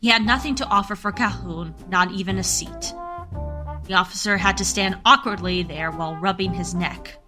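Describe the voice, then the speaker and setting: A woman's voice: medium pitch, normal speed. A woman narrating a scripted radio drama in a storytelling style. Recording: music and crowd sounds behind. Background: music and crowd bed.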